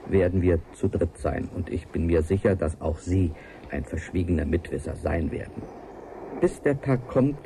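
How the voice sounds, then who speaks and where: An actor playing an earnest, lonely scientist who confides in a formal, measured way in a radio-play audio letter. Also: male voice, deep voice, warm tone, slight background noise. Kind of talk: 1980s German radio play monologue.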